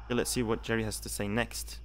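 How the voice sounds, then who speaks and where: A man's voice: fairly low pitch, little pitch movement, fast talker. Young man, from Belgium, game streamer, chatty, repeats himself, faint background noise. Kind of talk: casual live-stream commentary.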